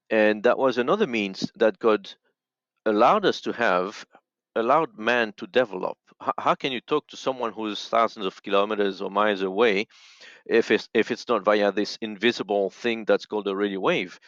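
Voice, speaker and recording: A man talks as a podcast guest, measured and earnest as he explains. The sound is very dry and a little muffled. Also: lowish voice, a bit bright, no room sound. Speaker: podcast guest, radio ministry worker. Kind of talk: thoughtful interview talk. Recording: slightly dull, dry audio.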